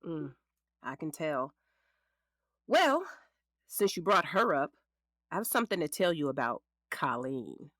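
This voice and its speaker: A woman's voice: sits low, very animated, unhurried, long pauses, a bit bright, dry recording, studio quality, full character voice. An actress playing a frank, sassy middle-aged lawyer in a naturalistic audio drama, protective of the ex-husband she represents. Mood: concerned. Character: frank, sassy female lawyer, protective ex-wife of her client. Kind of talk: naturalistic scripted drama dialogue.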